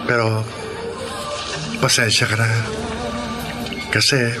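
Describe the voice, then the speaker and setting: An actor with a Filipino accent playing an affectionate, earnest man in love, sincere and soft-hearted, in a radio drama. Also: mid-range voice, flat delivery, slow pace, natural voice. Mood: sincere, hesitant. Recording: radio drama with prominent music underneath.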